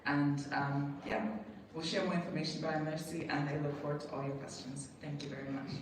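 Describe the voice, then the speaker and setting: A speaker from Tanzania giving a measured public presentation with pauses, as a university programme representative. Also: medium pitch, flat delivery. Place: echoey event hall with audience. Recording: echoey room, distant mic, clearly noisy.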